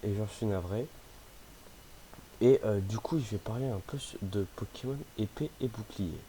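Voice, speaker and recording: A man's voice: on the low side, warm tone, slight background noise, rough recording. A young man with a cold talking casually about games, hesitant, with many fillers and restarts. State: has a cold, sniffly. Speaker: gaming youtuber. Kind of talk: casual vlog chat.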